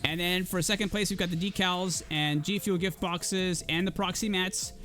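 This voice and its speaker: A man in his thirties hosts a gaming league stream with a noticeably hoarse voice. He talks casually to chat in a fairly high register, and soft music plays faintly behind him. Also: steady tone, normal speed. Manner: casual, chatty, addresses chat. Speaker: esports league caster. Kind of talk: livestream caster filling time.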